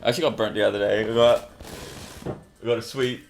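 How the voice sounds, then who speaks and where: A man's voice: lowish voice, steady tone, fast talker, creaky, slight background noise. A young Australian man chatting casually as a gaming co-host, with a laid-back, slangy way of talking. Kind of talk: casual chat.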